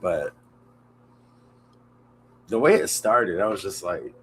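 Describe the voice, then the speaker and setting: Animated low-voiced man, blunt slang. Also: takes it slowly, slight background noise. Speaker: podcast co-host. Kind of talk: casual chat.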